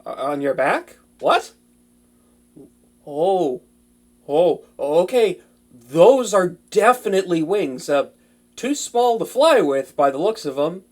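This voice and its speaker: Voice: mid-range voice, very animated, takes it slowly, long pauses, darkish tone. A performer voicing an earnest, flustered young wizard in an intimate fantasy audio drama, chatty and prone to anxious stammering.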